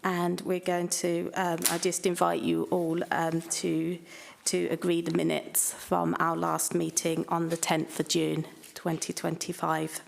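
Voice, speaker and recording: A woman chairs a council committee in a meeting chamber. Her voice sits low with a gravelly edge, and her pitch barely moves. She pauses often and fills gaps with hesitant ums. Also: completely flat delivery, a little hiss or hum. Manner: hesitant, many fillers.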